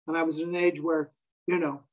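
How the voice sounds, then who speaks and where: A man's voice: on the high side, level tone, fairly quick, phone-quality sound. An American man in his fifties, a guest telling his life story in a wry, self-deprecating way. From United States. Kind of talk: casual interview conversation.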